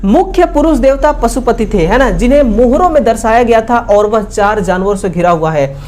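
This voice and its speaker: A woman's voice: a little high, animated, fairly quick, a little mellow. A woman with an Indian accent teaching an exam-review lesson, explaining instructively with repeated tag questions and repeated answers.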